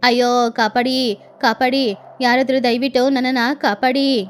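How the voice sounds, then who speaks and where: A woman's voice: sits high, little pitch movement, unhurried, clear, resonant tone, voice pushed down. A woman voicing a fussy, theatrical adult female crow aunt in a cartoon, who wails and talks her way out of trouble. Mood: wailing. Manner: theatrical, wailing and self-justifying.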